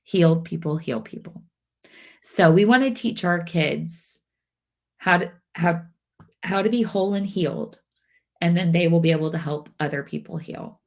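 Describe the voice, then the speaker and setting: Woman host, middle-aged, lowish voice, nasal from a cold, earnest, loose and repetitive. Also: moderate pace, darkish tone. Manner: earnest, repeats phrases, many restarts. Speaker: parenting podcast host. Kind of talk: casual talk to camera.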